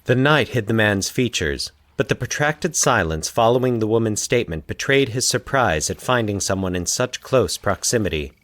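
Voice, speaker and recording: A man hosting and narrating a fiction podcast in a deliberate, literary storytelling delivery. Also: fairly low pitch, expressive, a bit bright, no echo.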